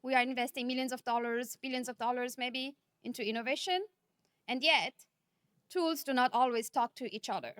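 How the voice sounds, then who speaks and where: A woman from Nepal, giving a conference keynote speech in a measured, earnest manner, often posing rhetorical questions. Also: on the high side, a bit bright, smooth, dry recording, clean studio sound. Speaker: keynote speaker.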